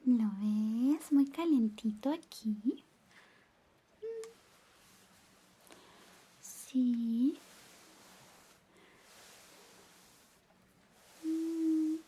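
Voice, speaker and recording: Woman acting out high, whispery, flirty best friend. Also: lively intonation, very slow, long pauses, full character voice. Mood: tender, playful. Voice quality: soft, breathy whispering mixed with soft voice. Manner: coaxing, intimate, lingering. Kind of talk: Spanish ASMR roleplay, whispered personal attention.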